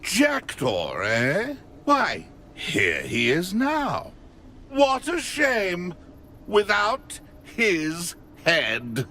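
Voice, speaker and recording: An actor playing a haughty, mocking ghost lord in a video game, pompous, drawling and sneering, with faint ambience behind him. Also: male voice, high voice, wildly swooping pitch, extremely slow, sharp tone, a little hiss or hum, full character voice. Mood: sneering, suspicious.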